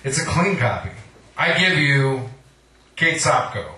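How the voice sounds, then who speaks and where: A man's voice: medium pitch, sing-song, unhurried, very noisy. A man hosting a live poetry night, giving an informal, joking introduction with mock-formal flourishes. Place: live poetry reading at a cafe. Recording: echoey room, distant microphone.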